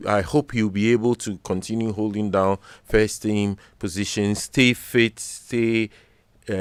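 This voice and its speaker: Man, Ghanaian English accent, low voice, smooth, sports radio analysis, measured, earnest. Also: no echo, clean studio sound. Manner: measured, earnest, repeats words while thinking. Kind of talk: studio sports discussion. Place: radio studio.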